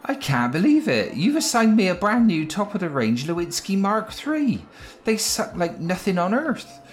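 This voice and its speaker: A man playing an earnest, excitable spaceship cleaner in a sci-fi comedy audio drama. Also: high voice, moderate pace, slight background noise. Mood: overjoyed.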